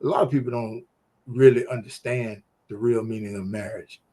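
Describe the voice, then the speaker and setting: A man's voice: mid-range voice, moderate pace. Emphatic, preachy talk show man. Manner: emphatic, preachy, animated storytelling. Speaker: podcast co-host. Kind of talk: casual faith-based talk show chat.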